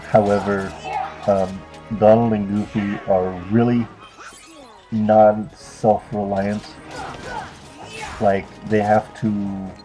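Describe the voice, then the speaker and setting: A man's voice: lowish voice, level tone, takes it slowly, long pauses, dark tone, low-quality recording. A young man giving casual, unscripted video game commentary in a hesitant, rambling, offhand way. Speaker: let's play commentator. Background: video game music.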